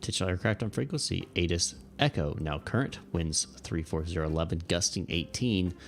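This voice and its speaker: Deep, gravelly male livestreamer. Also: fairly quick, over music. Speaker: livestream host playing air traffic controller. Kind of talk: casual livestream chat with radio phraseology.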